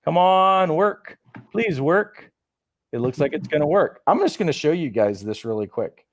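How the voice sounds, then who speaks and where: A man's voice: fairly high pitch, animated, normal speed, a little hiss or hum. A man hosting a live coaching webinar, upbeat, reading out viewer comments over a video call. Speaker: host of a coach training webinar. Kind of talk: casual live webinar chat.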